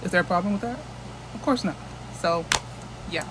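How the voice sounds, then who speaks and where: A woman's voice: fairly low pitch, moderate pace, background noise. A woman from the United States chatting casually into her phone camera as a vlogger, rambling and restarting often.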